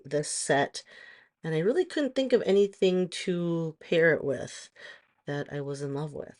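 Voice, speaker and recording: A middle-aged woman with a hoarse voice, chatting casually through a nail tutorial and rambling with frequent pauses and ums. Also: deep voice, a little mellow. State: hoarse from allergies. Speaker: nail stylist vlogger.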